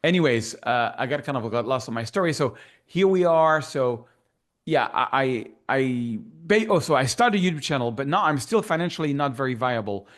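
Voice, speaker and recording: Middle-aged Frenchman, strong French accent, casual guest chat, enthusiastic and rambling, mid pitch. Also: animated, normal speed. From France. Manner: rambling, enthusiastic, full of fillers and restarts. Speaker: guest photographer. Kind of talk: casual interview conversation.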